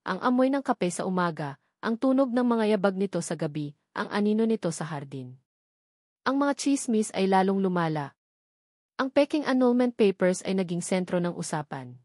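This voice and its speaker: A woman narrating a scripted romantic drama with emotive, deliberate phrasing. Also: mid-range voice, a little mellow, no room sound, clean studio sound.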